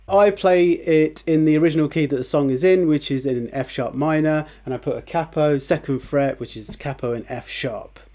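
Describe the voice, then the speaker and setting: A man hosting a casual guitar tutorial, chatty and relaxed, with fillers and restarts, who says his voice is on the low side. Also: moderate pace, few pauses. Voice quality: lower voice, by his own account. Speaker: guitar teacher, channel host.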